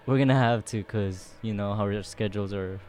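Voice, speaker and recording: A man's voice: lowish voice, brisk, a little mellow, a little hiss or hum. A young man from the Philippines co-hosting a podcast, bantering casually with a friend in a laid-back, joking, slangy way. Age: young adult. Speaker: podcast co-host. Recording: music in the background.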